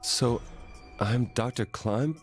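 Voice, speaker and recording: An actor playing a puzzled, soft-spoken man in a video game, asking measured questions. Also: mid-range voice, lightly acted. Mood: puzzled, probing. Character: puzzled, soft-spoken man just woken, doubting who he is. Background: game music.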